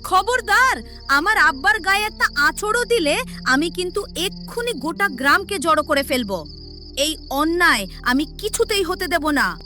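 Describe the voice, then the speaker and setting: A woman's voice: extremely high pitch, expressive, moderate pace, exaggerated voice. A woman playing a devoted, brave teenage village girl in a cartoon story, with earnest, emotional delivery. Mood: furious shouting. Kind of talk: melodramatic cartoon story acting. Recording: loud music behind the voice.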